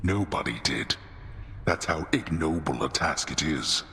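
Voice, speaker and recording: A man's voice: very deep voice, expressive, unhurried, bright, forceful tone, a little hiss or hum, full character voice. An actor playing a stern, scornful father god of the underworld in a video game, speaking in a pompous, commanding way.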